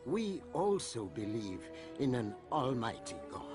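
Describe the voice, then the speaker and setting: An actor playing a calm, conciliatory Meccan clan elder in a cartoon, measured and unalarmed. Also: male voice, medium pitch. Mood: placating. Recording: background music under the voice.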